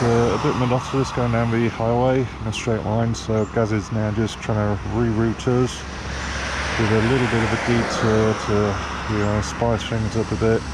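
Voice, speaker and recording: A man narrating a motorcycle vlog in a casual way, with hesitant pauses as he talks. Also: mid-range voice, very noisy. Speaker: motorcycle vlogger.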